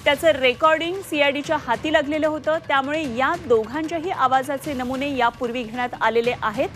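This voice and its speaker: A woman's voice: sits high, a little fast. A woman anchors the news. Her delivery is scripted news reading, with light music underneath. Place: TV news studio. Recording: music bed behind voice.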